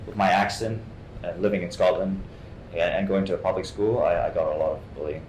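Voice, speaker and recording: A man from Hong Kong giving an informal interview as a guest actor, halting and often repeating his words. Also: fairly even intonation, a little mellow. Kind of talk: informal artist talk, answering questions. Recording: echoey room, faint rumbling noise.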